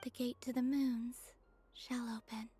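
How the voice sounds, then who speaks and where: A woman playing a gentle, wistful young moon maiden in a game, with a soft, breathy, whispery voice. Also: on the high side, little pitch movement, unhurried, natural voice. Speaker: game character voice actor. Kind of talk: scripted dramatic game dialogue. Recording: music behind the voice.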